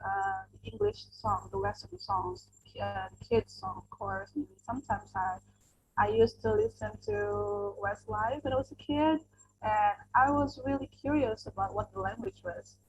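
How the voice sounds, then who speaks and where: A woman's voice: sits high, sing-song, normal speed, creaky, over music, rough recording. A young adult woman from Indonesia, an English teacher whose first language is Indonesian, answering interview questions earnestly with many fillers and restarts.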